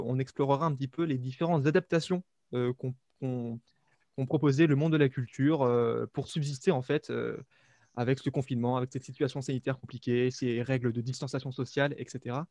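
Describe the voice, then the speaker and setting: A young man lays out the plan for an online press conference, organized but a little hesitant. His voice is mid-pitched, and the audio is dry with no room sound. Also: darkish tone, no room sound. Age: young adult. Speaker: student journalist moderator. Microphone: video call mic.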